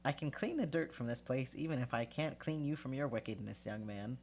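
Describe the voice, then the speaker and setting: A man's voice: mid-range voice, expressive, a little fast, vocal fry, darkish tone, a little hiss or hum, voice pushed up. A man with a congested voice voicing a prim, firm old woman who insists on being the new cleaner. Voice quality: congested, sniffly.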